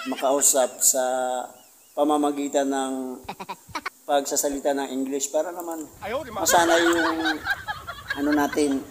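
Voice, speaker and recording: A man with a Filipino accent and Tagalog as first language, chatting casually as a vlogger, jovial and halting, often groping for English words. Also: on the high side, level tone. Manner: jovial, giggly, self-deprecating. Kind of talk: casual vlog chat, interviewing visitors. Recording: clearly noisy amateur vlog recording.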